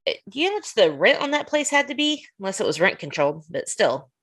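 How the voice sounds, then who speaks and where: Lively-voiced woman co-host. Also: medium pitch. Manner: quick reactive interjections. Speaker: podcast co-host. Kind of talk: casual film chat.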